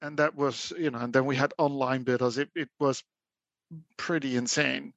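A man with a Dutch accent speaking as a guest in a conversational interview, measured and reflective. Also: mid-range voice, steady tone, gravelly, fairly bright tone, no room sound. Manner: measured and reflective, with occasional laughs. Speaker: guest, auction house specialist.

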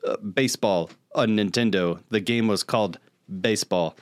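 A man with a somewhat deep voice talks casually as a podcast co-host. His delivery is plain-spoken and blunt, in short matter-of-fact remarks. The sound is close and free of room echo. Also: normal speed. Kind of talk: casual podcast chat about video games.

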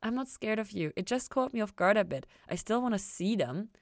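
A woman's voice: medium pitch, animated, brisk, dry recording, voice pushed up, close to an everyday voice. A woman reader plays a bold young woman in an audiobook tale. Her voice is lifted and perky, with a dry, close sound. She comes across as curious, teasing, informal and kind.

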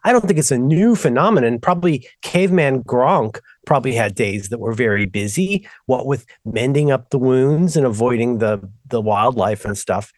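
A man's voice: mid-range voice, moderate pace, hardly any pauses, dry recording. A middle-aged man co-hosting a podcast, chatting casually and telling stories in a rambling way that keeps stopping and restarting itself. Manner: rambling, self-interrupting, animated storytelling. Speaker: podcast co-host. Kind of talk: casual chat.

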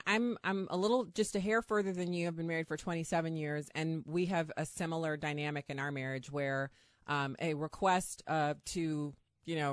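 Middle-aged female podcast host, fairly low register, casual conversational delivery, very dry studio-like sound. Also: dry recording. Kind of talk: casual interview chat.

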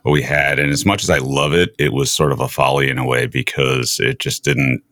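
A man hosting a conversational interview about space, speaking in a measured, halting way. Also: a bass voice, flat delivery, fairly bright tone, no echo, clean studio sound. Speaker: interview show host.

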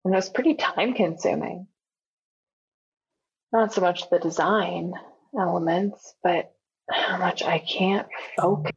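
A dull, lo-fi recording of a haunted young woman in a found-footage audio drama. She speaks slowly in a low register, introspective and on edge. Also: creaky, husky, full character voice. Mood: weary, anxious.

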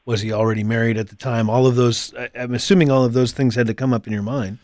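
Male host for casual podcast interview. Medium pitch, dry acoustic, jokey and responsive. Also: steady tone, quick pace, darkish tone, no room sound.

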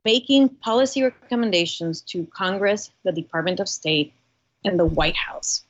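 A woman's voice: fairly low pitch, animated, normal speed, highs cut off. A woman from the United States, a guest on a podcast, speaking in an earnest, articulate way. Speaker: guest, religious freedom advocate. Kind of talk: podcast interview.

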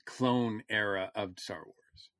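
A man's voice: on the low side, fairly even intonation, takes it slowly, a bit bright, no room sound. A man co-hosting a science fiction podcast, talking casually and often searching for his words mid-thought. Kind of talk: casual, fannish panel chat.

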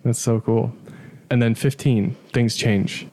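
A man narrating a church testimony video and interviewing a member of the church community in an earnest, measured way. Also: mid-range voice, fairly even intonation, a little mellow, dry recording.

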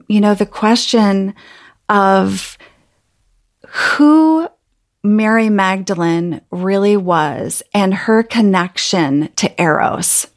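Lady hosting a podcast, talks real slow, earnest, lots of "you know". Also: medium pitch. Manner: earnest and unhurried, with frequent fillers. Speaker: podcast host. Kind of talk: podcast interview.

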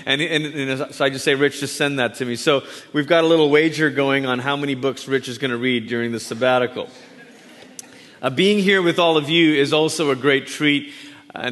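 A man who grew up in Malaysia speaks as a guest preacher in a church sanctuary. His talk is conversational and warm, full of friendly asides to the congregation. The sound rings with long hall reverb. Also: mid-range voice. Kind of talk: sermon introduction.

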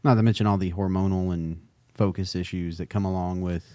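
Deadpan, low-voiced man in dry sound. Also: fairly quick, no room sound. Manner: dry, deadpan, erudite wit. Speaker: podcast co-host. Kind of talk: casual chat.

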